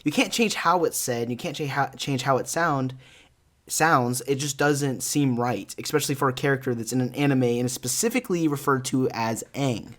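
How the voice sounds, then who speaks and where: A man's voice: medium pitch, normal speed, fairly bright tone. Emphatic young American man. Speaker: podcast co-host. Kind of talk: casual panel chat about films and animation.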